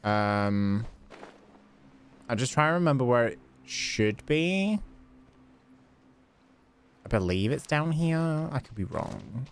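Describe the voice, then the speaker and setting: A man's voice: mid-range voice, big pitch swings, slow pace, long pauses, slight background noise. A man giving casual live commentary on a game as he plays, musing aloud as he works things out. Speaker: game livestreamer. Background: game music.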